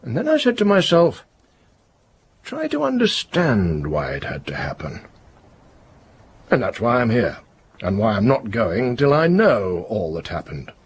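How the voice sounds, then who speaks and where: An actor playing a grave, probing police inspector in a radio drama, with a rough, husky voice and a deliberate, accusatory way of questioning. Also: male voice, mid-range voice, wildly swooping pitch, slight background noise.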